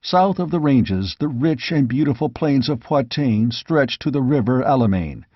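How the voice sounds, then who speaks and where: A male audiobook narrator reads scripted fantasy fiction. His voice sits at a medium pitch. The recording is close and dry, with a slightly dull top end. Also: expressive, normal speed, no room sound.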